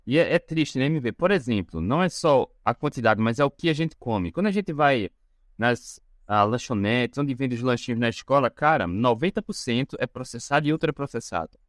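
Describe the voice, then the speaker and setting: A Brazilian man hosting a podcast, talking in a relaxed, conversational way with casual fillers. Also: mid-range voice, normal speed, no room sound, clean studio sound. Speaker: podcast host. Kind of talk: casual chat.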